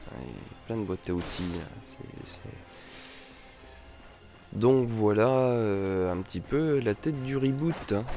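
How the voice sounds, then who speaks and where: Very low-voiced man with a cold. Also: lively intonation, unhurried, warm tone. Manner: rambling, repeats phrases. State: has a head cold. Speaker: let's play host. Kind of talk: casual gameplay commentary. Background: game music.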